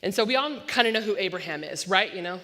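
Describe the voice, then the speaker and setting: A woman pastor preaching a sermon with an unusually loud voice, talking fast and slipping in self-deprecating jokes. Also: mid-range voice, animated. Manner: self-deprecating joking asides; tends to speak fast. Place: church sanctuary. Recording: long church-hall reverb.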